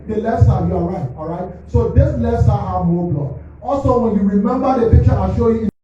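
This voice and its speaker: A man's voice: high voice, fairly quick, warm tone, a little hiss or hum. Echoey far-off man lecturing on autopsy slides. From Liberia. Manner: teacherly, keeps asking "all right?". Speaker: forensic pathologist at a press briefing. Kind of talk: explaining autopsy slides to the press. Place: government press briefing hall. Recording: distant mic, echoey muffled hall.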